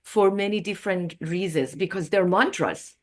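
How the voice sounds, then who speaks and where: A woman's voice: on the low side, normal speed. Woman, explaining earnestly. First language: Farsi. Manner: earnest, explaining, many fillers and restarts. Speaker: guest, yoga and Ayurveda practitioner. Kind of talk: casual interview chat.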